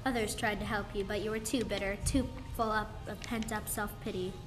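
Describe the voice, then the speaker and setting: A woman's voice: mid-range voice, fairly even intonation, fairly quick, lightly acted. A teenage girl playing a stern, wise old woman mystic in a school radio play, speaking in a solemn, formal, portentous way. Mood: grave, reproachful. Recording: music under the voice. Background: music.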